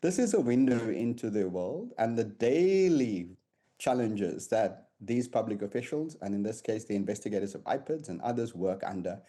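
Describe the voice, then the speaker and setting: Man, security analyst on live news, mellow tone, measured with restarts. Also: on the low side, big pitch swings. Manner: measured, with repeated words and restarts. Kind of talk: live news interview commentary.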